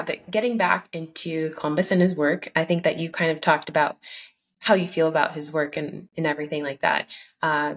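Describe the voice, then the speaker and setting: A woman from Cameroon hosts a conversational podcast. Her voice sits low, and she lays out her points calmly and thoughtfully, filling her pauses with soft "um"s. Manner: measured, thoughtful, with frequent "um" pauses. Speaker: podcast host. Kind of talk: casual conversational interview.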